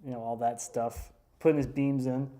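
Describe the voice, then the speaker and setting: A man talks through his projects in a loose, joking way, circling back and restarting his sentences as he goes. His voice is mellow and dark, and the tone stays relaxed and conversational. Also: mid-range voice, normal speed. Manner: easygoing, joking, rambling with restarts. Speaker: woodworker and show host. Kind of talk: casual chat.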